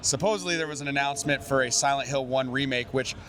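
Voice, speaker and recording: A man's voice: medium pitch, fast, pushed and bright. A young man hosting an interview, eager and agreeable, often chiming in with yeah. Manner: eager, agreeable, lots of yeahs. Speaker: interviewer host. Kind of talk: casual interview chat.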